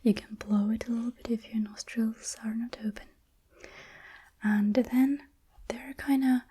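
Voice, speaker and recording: Softly whispering woman guiding breathing. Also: mid-range voice, husky. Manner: calm, counts slowly aloud. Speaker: breathing instructor. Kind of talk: whispered guided breathing lesson.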